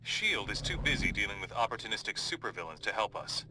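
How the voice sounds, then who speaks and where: A man playing a confident superhero leader in a game cutscene, giving measured, authoritative briefings. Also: lowish voice, sing-song, noisy background. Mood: measured, resolute. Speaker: mission briefer.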